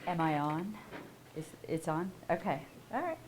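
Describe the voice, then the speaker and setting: A woman giving a university lecture, animated and asking the audience questions as she goes. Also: deep voice, a little slow, over a noisy crowd, heavy background noise. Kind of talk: public lecture. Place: lecture hall.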